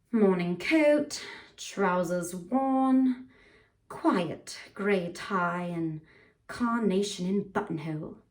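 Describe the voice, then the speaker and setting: A woman playing a tipsy, pompous upper-class English gentleman with a put-on British accent, rambling through a grandiloquent speech. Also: a little high. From United States. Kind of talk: theatrical monologue performance. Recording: voice from far off the mic.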